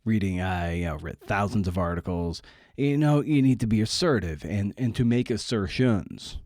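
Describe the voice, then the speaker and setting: A man's voice: lowish voice, expressive, moderate pace, darkish tone, no room sound, studio quality. A man hosting a podcast, talking informally in a candid, self-deprecating way. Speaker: podcast host.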